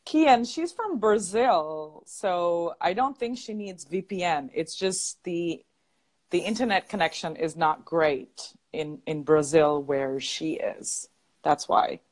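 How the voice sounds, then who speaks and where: Chatty woman hosting a slow live stream. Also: lowish voice, a little mellow. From United States. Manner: chatty, laughs often, addresses viewers. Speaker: online English teacher and live stream host. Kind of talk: casual live stream chat. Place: small bright room indoors. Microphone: earphone headset mic.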